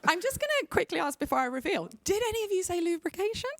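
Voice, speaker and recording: A woman's voice: very high-pitched, very animated, quick pace, no echo, studio quality. A woman hosting a live game show at a conference in a playful, teasing way.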